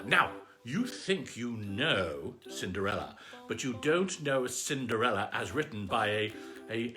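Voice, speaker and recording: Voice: medium pitch, bright, forceful tone. A performer voicing a pompous aristocratic cat lord, gushing theatrically and affectionately as host of a live bedtime story show. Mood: excited, grand. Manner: pompous, gushing, luvvie exclamations. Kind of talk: theatrical livestream greeting and shout-outs.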